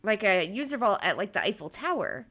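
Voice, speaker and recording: A woman's voice: mid-range voice, sing-song, a little fast, fairly bright tone. A woman co-hosting a podcast in casual chat, asking pointed follow-up questions. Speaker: podcast co-host.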